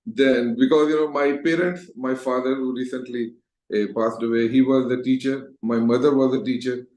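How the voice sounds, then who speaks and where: A roomy, echoing recording of a middle-aged man with a Pakistani accent. His pitch is average, and he talks conversationally as a guest sharing personal stories. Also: fairly even intonation, moderate pace, a little mellow. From Lahore, Pakistan. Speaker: interview guest, Toastmaster educator. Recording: echoey, roomy voice sound.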